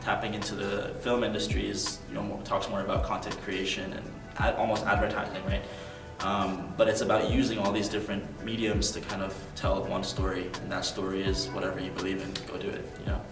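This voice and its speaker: Young male interviewee, earnest and reflective, fillers, low-set voice, recorded at a distance. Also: completely flat delivery, fairly quick, few pauses, echoey room, music in the background. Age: young adult. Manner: earnest, thoughtful, many ums and likes. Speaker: interview guest.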